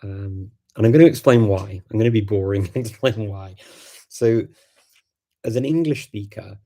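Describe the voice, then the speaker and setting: Male stream host, native Macedonian speaker; gravelly and low, casual and rambling. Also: moderate pace, warm tone. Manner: chatty, thinks aloud with many ums and restarts. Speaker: livestream host answering viewer questions. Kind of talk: casual live chat.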